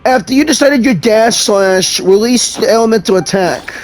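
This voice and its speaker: A man's voice: high voice, moderate pace, a little hiss or hum. A man giving casual gameplay commentary, playful, reacting aloud to the game and its story. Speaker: gaming video host. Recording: faint game music behind.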